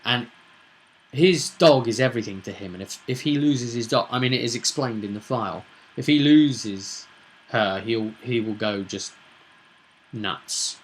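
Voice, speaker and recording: Man recounting a tabletop game, halting and emphatic. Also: mid-range voice, poor audio. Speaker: game master recapping a roleplay session. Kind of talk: casual, storytelling recap of a tabletop game.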